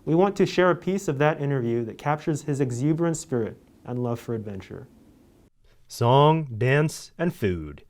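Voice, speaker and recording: A man's voice: mid-range voice, lively intonation, normal speed, a little mellow. A young man reading scripted news as a student anchor and reporter. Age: young adult.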